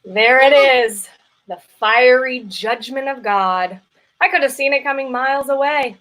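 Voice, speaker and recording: Woman doing guilty mistress in a comedy play, highish sharp voice, super earnest n dramatic. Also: measured pace. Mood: dramatic confession. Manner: earnest, emphatic, self-dramatizing confessional delivery. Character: guilt-ridden, dramatic mistress craving judgment. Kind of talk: live play reading, comedic stage acting.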